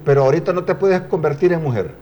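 A man's voice: a little high, quick pace, a little mellow, slight background noise. A man hosting a TV show, asking teasing, probing questions in a playful, casual on-air chat. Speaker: TV host.

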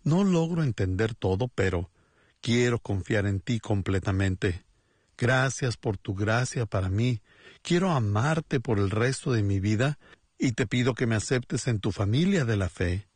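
Earnest man leading a slow prayer. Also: fairly low pitch, animated, slightly rough, dry recording. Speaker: pastor. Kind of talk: Spanish-dubbed radio prayer and sermon voice-over.

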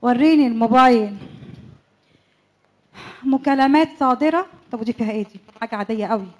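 A suspicious young wife with an Egyptian accent, played by a woman in a radio drama, pointed and quick to accuse. Her voice sits high. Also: natural voice. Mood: suspicious muttering. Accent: Egyptian Arabic. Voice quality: sharp, needling, quick to accuse. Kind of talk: church radio comedy-drama acting.

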